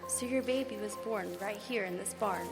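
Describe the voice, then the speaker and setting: A woman's voice: mid-range voice, moderate pace, creaky, lightly acted. A woman playing an eager on-the-scene radio reporter. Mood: earnest. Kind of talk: live radio drama acting. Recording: live stage with piano music.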